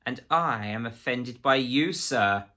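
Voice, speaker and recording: Guy voicing a nasty prissy storybook official, clipped and pompous, hard bright voice. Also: mid-range voice, lively intonation, slow pace. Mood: roaring rage. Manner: officious, precise, clipped, menacing. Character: prissy, menacing male government agent, pompous and volatile.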